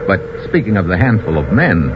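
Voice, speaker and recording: Breezy american tough guy, old radio serial, lowish lively voice, slangy n wry. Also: a little fast. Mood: breezy, curious. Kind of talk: old-time radio drama acting. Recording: muffled, noisy old radio recording.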